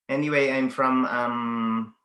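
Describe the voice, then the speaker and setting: A man's voice: mid-range voice, fairly even intonation, slow pace, fairly bright tone, reverberant. A man from the Philippines, a guest athlete talking casually on a video call, hesitant, with many fillers and restarts. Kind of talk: casual interview, mixing Tagalog and English.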